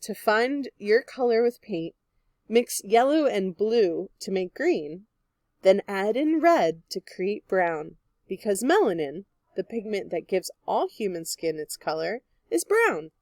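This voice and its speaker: A woman reading a picture book aloud like a teacher, in a clear, expressive manner meant for young children. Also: medium pitch, takes it slowly, no room sound. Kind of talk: children's read-aloud narration.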